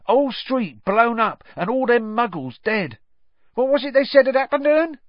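An excitable young London bus conductor, pitched high and swooping. He gossips dramatically in working-class slang and keeps tagging questions onto his sentences. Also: normal speed, no room sound, muffled recording. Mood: awed, excitable.

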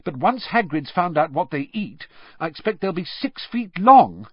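A man's voice: mid-range voice, sing-song, a little fast, slightly rough, no room sound, lo-fi sound, pitched above the performer's own voice. A man voicing a bossy, clever, indignant schoolgirl with a snappy, prim manner in an audiobook. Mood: exasperated.

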